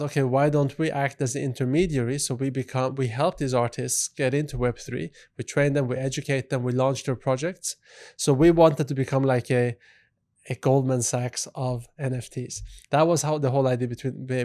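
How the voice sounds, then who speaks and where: A man from Sweden, a guest CEO on a podcast, telling his story in an easygoing, soft-spoken way with frequent "you know" fillers. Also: medium pitch. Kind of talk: relaxed storytelling in an interview.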